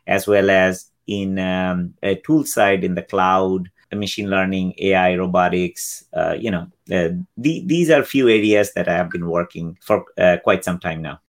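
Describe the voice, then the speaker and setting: A man's voice: low voice, measured pace, hardly any pauses. A man in his fifties from Bangladesh, a guest explaining technology trends in an interview and acting out quoted exchanges. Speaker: guest, cloud solutions architect. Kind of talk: interview answers.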